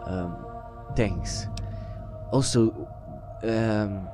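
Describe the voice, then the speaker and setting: A man's voice: fairly low pitch, very slow, music in the background. A man reading game text aloud and role-playing, often stumbling over his words. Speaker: let's-play roleplay host.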